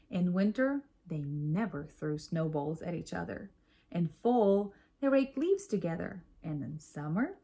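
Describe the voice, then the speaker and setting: Animated woman reading a story, unhurried. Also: medium pitch, slightly rough. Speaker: storybook reader. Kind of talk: expressive children's read-aloud narration.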